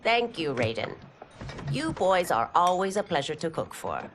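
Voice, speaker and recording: A woman's voice: lowish voice, big pitch swings, normal speed, slight background noise. An actress playing a stern but motherly older restaurant keeper and teacher in a video game, firm and teasing. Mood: warm. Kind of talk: video game cutscene acting.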